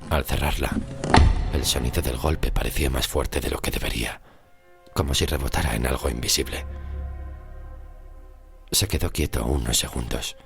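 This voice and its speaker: A man from narrates a scripted horror story with suspense, in short weighted phrases that often sink into a breathy hush. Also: extremely low pitch, normal speed, pauses often. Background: music.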